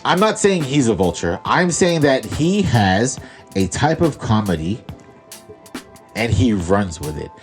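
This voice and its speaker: Animated man arguing his point on a podcast. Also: medium pitch, a little slow, faint music behind. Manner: animated, argues with emphasis, asks questions. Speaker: podcast co-host. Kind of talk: casual chat.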